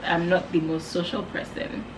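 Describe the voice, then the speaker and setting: A woman's voice: on the low side, little pitch movement, moderate pace, background noise. A young woman from Nigeria co-hosting a casual chat, talking reflectively and laughing often. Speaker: co-host.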